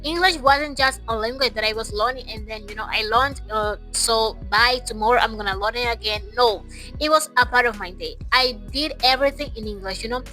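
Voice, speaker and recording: Bright, high-voiced woman vlogger. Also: brisk, faint music behind, poor audio. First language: Portuguese. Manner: emphatic, repeats phrases for stress. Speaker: English-learning YouTuber. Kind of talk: casual motivational talk to camera.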